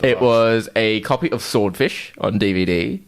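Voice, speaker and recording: A man's voice: lowish voice, a little slow. A man co-hosting a podcast in casual, jokey chat peppered with swearing. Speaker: podcast co-host.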